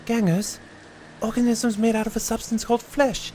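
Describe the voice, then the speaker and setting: A man's voice: extremely high pitch, flat delivery, a little mellow, slight background noise, pitched above the performer's own voice. A man voicing a flustered male time traveller who talks hurriedly and muses aloud. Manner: quick, musing, breathless.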